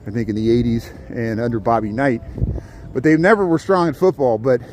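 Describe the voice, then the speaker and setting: A man's voice: mid-range voice, fairly quick, a little mellow. Rambling man livestreaming on city streets. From southern Indiana, US. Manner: rambling, chatty, answers viewer comments. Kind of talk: walking livestream commentary. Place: outdoors on cold city streets. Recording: faint street noise outdoors. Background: city traffic and street ambience.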